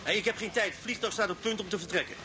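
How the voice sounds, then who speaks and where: A gruff, impatient inspector from a radio play, acted by a man, speaking curtly in a slightly high male voice. He grumbles and snaps out orders. The old recording carries plenty of noise. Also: racing, close to an everyday voice. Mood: agitated. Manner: curt, grumbling. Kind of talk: Dutch radio drama acting.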